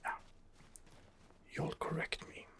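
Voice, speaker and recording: A man giving hushed ASMR game commentary in a breathy whisper, musing hesitantly to himself as he plays. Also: slow pace. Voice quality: breathy whisper with gravelly creak. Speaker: gameplay host.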